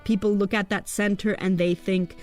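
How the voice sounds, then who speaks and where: A woman narrating a poetic spoken-word story in a slow, incantatory, drawn-out way. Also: medium pitch, level tone, a little mellow. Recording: faint instrumental music underneath.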